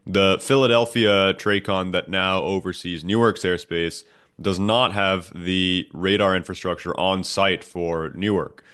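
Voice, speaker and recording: A man co-hosting a podcast, giving a measured, prepared explanation. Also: low-pitched, steady tone, a bit bright, clean tone. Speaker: podcast co-host.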